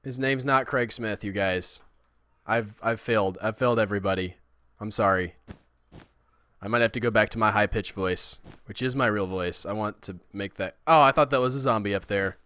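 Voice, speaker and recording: A man chatting casually while he streams a building game, rambling and self-deprecating, with a high, squeaky voice. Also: normal speed, sharp tone, smooth, no echo. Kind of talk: casual livestream chat.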